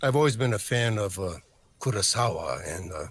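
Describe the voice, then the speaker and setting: Halting, reflective man in casual interview. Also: on the low side, animated, slight background noise. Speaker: voice actor, interview guest.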